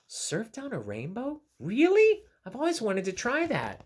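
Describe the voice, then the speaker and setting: Guy doing a chatty little jellyfish, bouncy and playful, pitched up. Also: huge pitch swings, measured pace, a crowd in the background, exaggerated voice. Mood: curious. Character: friendly chatty jellyfish, easily excited.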